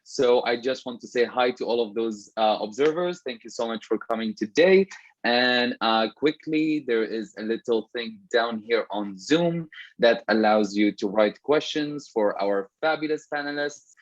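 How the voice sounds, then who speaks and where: A man's voice: medium pitch, measured pace, dry recording. A man from Syria moderating a panel over a video call, chatty and full of fillers and restarts, speaking from a room at home. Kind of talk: casual panel conversation. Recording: dull video call audio.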